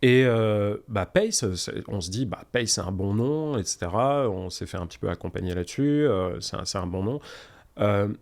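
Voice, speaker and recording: A man's voice: fairly low pitch, lively intonation, moderate pace, creaky. A man in his forties from Canada, chatting informally as an interview guest and explaining his points with examples. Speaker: startup cofounder guest. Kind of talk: casual conversational interview.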